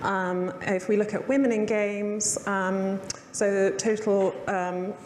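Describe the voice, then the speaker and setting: A woman moderates a conference panel with a mid-range voice, talking at an easy pace and filling gaps with "um". Her voice rings through a large hall with a long tail of reverb. Background noise sits under the speech. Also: mid-range voice. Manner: relaxed, with frequent "um" pauses. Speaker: panel chair and games journalist.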